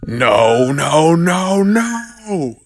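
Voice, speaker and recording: A man voicing a young, quippy, quick-thinking male superhero who talks to himself in an audio drama. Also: on the high side, big pitch swings, music in the background, pitched above the performer's own voice, exaggerated voice. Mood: strained.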